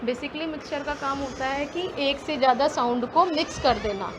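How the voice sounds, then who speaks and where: A woman's voice: high-pitched, normal speed. A woman with an Indian accent giving an explanatory lesson as a teacher, reading definitions and rephrasing them step by step. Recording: clearly noisy background throughout.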